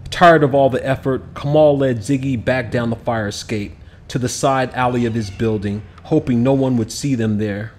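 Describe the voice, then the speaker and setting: A man with a medium-pitched voice narrates a children's picture book with expression. He reads as a storyteller, over a clearly audible bed of background noise. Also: moderate pace, darkish tone.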